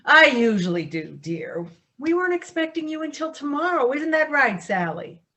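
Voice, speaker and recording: A woman's voice: high-pitched, very animated, moderate pace, pushed and bright, full character voice. An actress playing Margaret, an effusive older woman friend, in a staged play reading. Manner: bubbly, effusive. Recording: dull lo-fi sound.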